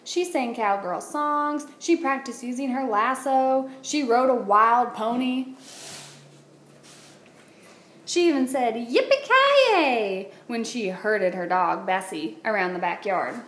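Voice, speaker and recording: A woman, a teacher, reading a children's picture book aloud with expressive, deliberate phrasing. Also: fairly high pitch. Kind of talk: read-aloud storytelling for children. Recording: roomy echo, light background noise.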